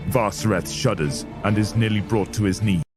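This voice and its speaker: A man's voice: fairly low pitch, moderate pace. A man narrating a scripted audio story in a dramatic style. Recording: clear music bed under voice. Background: dramatic music.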